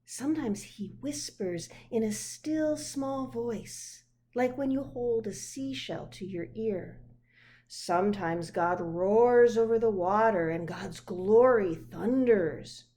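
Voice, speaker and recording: A woman voicing a gentle, patient parent answering a child in a measured, reassuring way, from a picture book. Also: mid-range voice, lightly acted.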